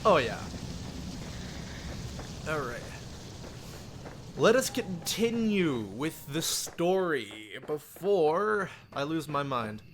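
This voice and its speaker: A man's voice: fairly high pitch, very animated, drawn-out words, long pauses, slight background noise. A man live streaming with casual, rambling chat, often chuckling as he replies to viewers. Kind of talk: casual live stream chat with chat replies, some read-aloud story narration.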